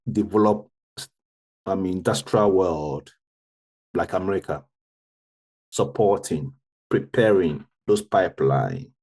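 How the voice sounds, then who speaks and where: A man's voice: low-pitched, darkish tone. A man from Ghana, speaking as a tech founder on a roundtable, earnest and often restarting to correct himself. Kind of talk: roundtable discussion.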